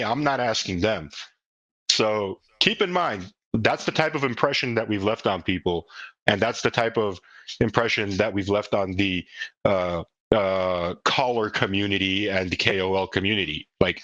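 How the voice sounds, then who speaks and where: A man's voice: lowish voice, a little slow, fairly bright tone, no room sound, rough recording. A man speaking casually and off the cuff as a project leader on a group call, earnest and emphatic, often repeating himself for stress. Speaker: crypto project leader hosting a community call. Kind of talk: casual unscripted group audio talk.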